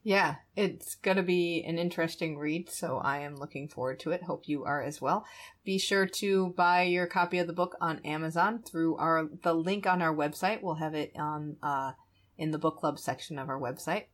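A woman's voice: low voice, moderate pace. A woman hosting a podcast in a casual, conversational style, chuckling often as she talks. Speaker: podcast host.

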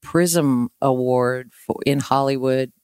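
A woman chats as a guest on a podcast. She is candid and quick to joke, in an informal conversational style. The recording is dry and close. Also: very deep voice, fairly even intonation, a little mellow, smooth, dry recording. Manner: candid, joking. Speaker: podcast guest, singer-songwriter. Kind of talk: casual chat.

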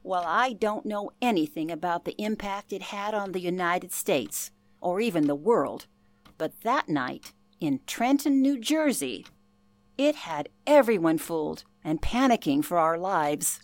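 A woman's voice: fairly low pitch, expressive, measured pace, no echo. Actress as earnest woman, dry close recording. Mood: insistent. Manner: earnest, reflective. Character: former telephone operator earnestly recalling a frightening night. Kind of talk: interview-style narration in radio play.

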